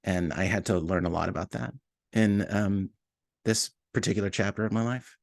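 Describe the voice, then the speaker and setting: A man's voice: on the low side, steady tone, moderate pace, gravelly, no room sound, studio quality. A man talking casually and reflectively as an interview guest, searching for his words with a hesitant, self-deprecating manner. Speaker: podcast writer and co-producer, interview guest.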